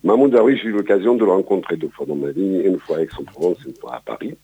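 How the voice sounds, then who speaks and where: Older man, very deep gravelly voice, dark husky tone, hesitant with many fillers, dull radio sound. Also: quick pace. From Palestine. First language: Arabic. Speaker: guest. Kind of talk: radio interview, life story.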